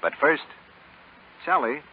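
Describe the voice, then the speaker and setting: A man's voice: fairly high pitch, big pitch swings, slow pace. An American man announcing a scripted 1930s radio advertisement as a back-and-forth dialogue. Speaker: commercial announcer. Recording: muffled, faintly noisy 1930s radio broadcast.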